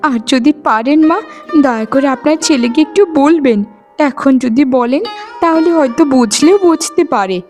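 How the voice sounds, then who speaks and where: Woman voicing a high-voiced, sorrowful village mother. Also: normal speed, darkish tone, soft background music, close to an everyday voice. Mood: weary complaint. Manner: plaintive, weary. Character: long-suffering, sorrowful poor village wife and mother. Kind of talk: cartoon voice acting in a moral story.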